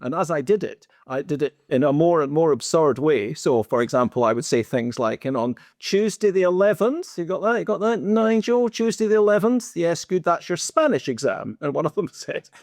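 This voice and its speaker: A man's voice: a little high, normal speed, few pauses, no echo, clean studio sound. A man with a Scottish accent co-hosting a podcast, telling wry stories from his teaching days in a casual chat. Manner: animated, wry, teasing. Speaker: podcast co-host, former teacher.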